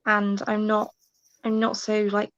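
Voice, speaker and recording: A woman speaking as a guest in a casual book discussion, with halting, tentative phrasing. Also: medium pitch, monotone, fairly bright tone, no room sound. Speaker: live show guest. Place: indoors, livestream video call. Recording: dull, band-limited call audio.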